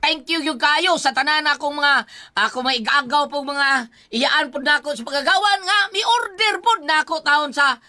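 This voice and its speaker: A woman's voice: sits high, normal speed, sharp tone. A woman with a Cebuano accent hosting a radio program, chattily giving effusive thanks, greetings and product plugs.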